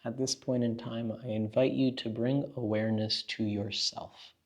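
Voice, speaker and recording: A man leading a guided meditation as a teacher, speaking in a calm, gentle, unhurried way with long pauses. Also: mid-range voice.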